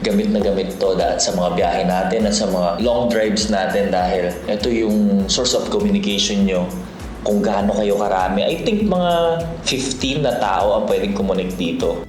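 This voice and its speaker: Low-voiced Filipino man vlogging, roomy sound. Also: normal speed. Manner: conversational, explanatory. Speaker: motorcycle vlogger. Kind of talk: casual vlog narration in Tagalog-English mix. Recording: roomy echo, loud background music. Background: music.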